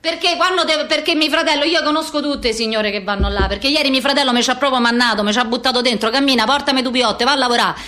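A woman's voice: high-pitched, quick pace, hardly any pauses, bright, forceful tone. A woman playing a brash, foul-mouthed young street girl with a Roman accent, in a blunt, agitated theatrical monologue. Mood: agitated, indignant.

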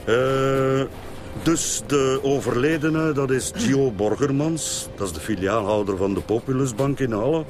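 A man's voice: mid-range voice, measured pace, noisy background, lightly acted. An actor playing a deferential Flemish policeman who reports dutifully to his superiors in a radio crime drama.

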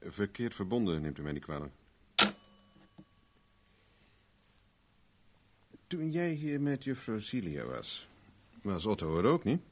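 Male for a hard-nosed detective in vintage radio drama: lowish voice, commanding, coolly sardonic. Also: big pitch swings, moderate pace, darkish tone, slight background noise. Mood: dry probing. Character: tough, relentless male private investigator, interrogating coolly. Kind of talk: 1970s Dutch radio drama acting.

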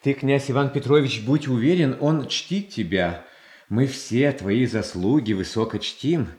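An actor playing a gentle, pious, anxious Russian tsar in a radio drama, speaking haltingly. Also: male voice, mid-range voice, reverberant, close to an everyday voice. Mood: pleading, conciliatory. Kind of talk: classical Russian stage tragedy acting for radio.

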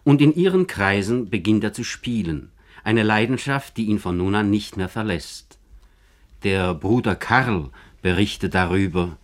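A man narrating a scripted literary radio documentary in a formal, measured manner. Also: fairly low pitch, expressive.

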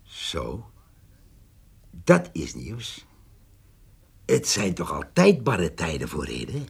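An actor playing a blunt, stubborn village farmhand in a radio drama, husky and earthy in his way of talking. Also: low-pitched, wildly swooping pitch, drawn-out words, no echo, exaggerated voice. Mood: guarded. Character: blunt, stubborn, nosy Dutch village farmhand, plain-spoken. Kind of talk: naturalistic radio drama acting.

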